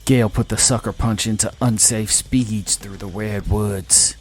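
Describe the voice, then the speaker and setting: A man with a fairly deep voice narrates scripted science fiction. His reading is dramatic, as a storyteller's would be. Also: steady tone, unhurried.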